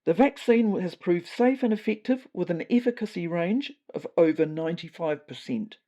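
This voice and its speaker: A woman voices an earnest male cat official in a comic audio story. The voice is mellow and soft-edged with a New Zealand accent, formal and slightly tentative in delivery. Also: fairly low pitch, lively intonation, moderate pace, muffled recording.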